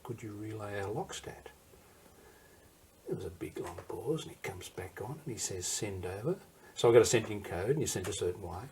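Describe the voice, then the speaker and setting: A man's voice: lowish voice, darkish tone, a little hiss or hum, rough recording. An older man with an Australian accent telling war memories in an interview, in a rambling, anecdotal way that wanders off and circles back. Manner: rambling anecdotal, digresses and restarts. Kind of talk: recorded interview storytelling.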